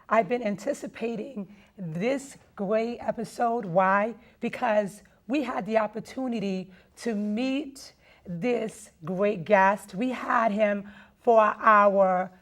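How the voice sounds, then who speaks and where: Lady talk show host, excited, mid voice, kinda repeats herself. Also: mid-range voice. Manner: enthusiastic, repeats herself, short affirmations.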